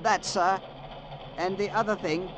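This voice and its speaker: High-voiced, timid train conductor. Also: male voice, steady tone, measured pace. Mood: anxious, insistent. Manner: formal, servile, anxious. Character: timid, worried, deferential male train conductor. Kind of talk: old radio drama acting. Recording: noisy old radio recording, train rumble.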